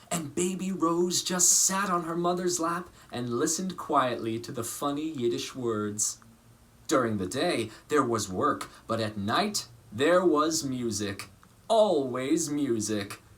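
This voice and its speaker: Expressive male storyteller with lively, varied pitch. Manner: measured, dramatic phrasing with pauses for emphasis. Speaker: storybook reader. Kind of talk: expressive scripted storytelling for children.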